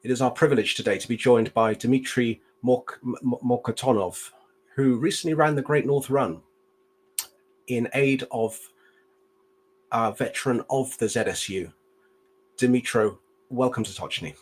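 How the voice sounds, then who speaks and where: A man's voice: medium pitch, normal speed, husky, low-quality recording. A man hosting a live stream interview, polite and formal, with occasional fillers. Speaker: host.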